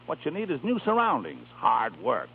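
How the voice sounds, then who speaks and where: Brisk, blunt impresario, persuasive, husky with lively melody, 1930s radio drama, thin old broadcast sound. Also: male voice, medium pitch, full character voice. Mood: coaxing. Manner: breezy, coaxing, blunt. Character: brisk, persuasive opera manager and family friend. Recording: muffled, narrow 1930s radio recording.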